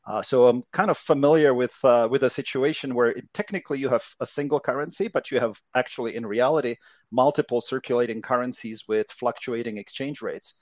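A man's voice: medium pitch, a little fast, darkish tone, no echo. Middle-aged man from Czechoslovakia, dry close sound. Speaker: interview guest, crypto investment executive. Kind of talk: explanatory interview talk.

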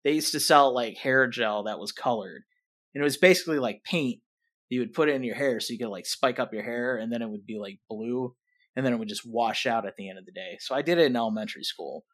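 A man's voice: mid-range voice, quick pace, fairly bright tone. A young man co-hosting a podcast, telling a rambling story in casual chat, full of fillers and swearing. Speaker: podcast co-host.

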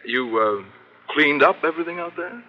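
A man's voice: a little high, animated, normal speed, natural voice. An American actor playing an evasive insurance executive in a 1950s radio drama, hesitant and stammering as he talks. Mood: probing, hesitant. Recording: muffled, faintly noisy 1950s radio recording.

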